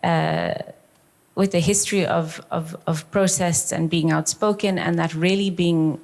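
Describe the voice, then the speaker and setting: A woman's voice: lowish voice, fairly even intonation, unhurried, creaky, darkish tone. A woman and festival curator answering on a public talk panel, thoughtful and searching for her words. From South Africa.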